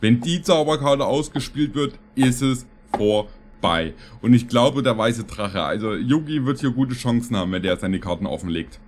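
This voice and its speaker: A man in his thirties with a medium-pitched voice comments casually as a streamer. He rambles and jokes with a tongue-in-cheek tone. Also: expressive, moderate pace, noisy background. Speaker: streamer reacting to videos. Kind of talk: casual joking reaction commentary. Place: indoor streaming room.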